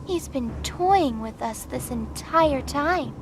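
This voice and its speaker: Woman playing a high-voiced, flippant rookie angel. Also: animated, slow, noisy background, full character voice. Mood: defiant. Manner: flippant, teasing, stubborn. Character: stubborn, flippant young female rookie angel gunslinger. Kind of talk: audio drama acting.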